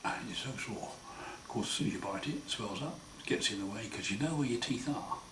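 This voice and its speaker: A man with a croaky, hoarse voice after throat surgery, talking slowly and wryly in a casual home video diary. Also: lowish voice, animated. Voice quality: hoarse, partly breathy. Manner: slow, wry, plain-spoken, often pausing. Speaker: patient sharing his recovery. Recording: roomy echo, far mic, noisy.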